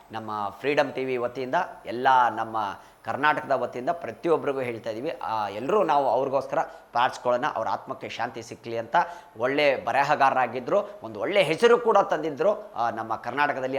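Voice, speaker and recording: A man's voice: mid-range voice, fairly bright tone. A man with a rustic Karnataka Kannada accent delivers a comic news monologue, folksy and chatty, tossing rhetorical questions at viewers. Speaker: host of a satirical political news segment.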